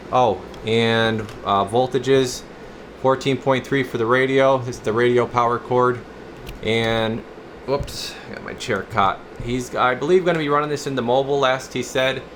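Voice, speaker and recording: A man giving a casual, methodical hands-on equipment demo as a technician, in a matter-of-fact technical way. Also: fairly low pitch. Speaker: radio technician, channel host. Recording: steady room hum and background noise.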